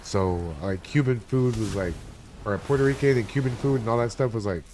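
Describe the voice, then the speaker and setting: A man's voice: medium pitch, brisk, darkish tone, a little hiss or hum. Foul-mouthed rambling male streamer. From Miami, USA. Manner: profane, rambling, chatty. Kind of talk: casual live-stream chat while gaming. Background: game music.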